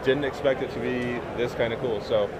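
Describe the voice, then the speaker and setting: Soft-spoken male interviewer over loud street noise. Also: medium pitch, fairly even intonation, a little fast. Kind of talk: casual interview.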